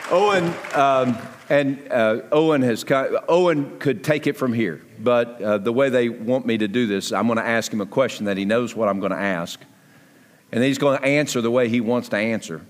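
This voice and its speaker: A man's voice: medium pitch, normal speed, a faint crowd, a little hiss or hum. Male pastor in a reverberant church hall. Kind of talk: sermon appeal and guest interview before a congregation. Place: church sanctuary, amplified to a congregation. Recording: echoey large hall reverb.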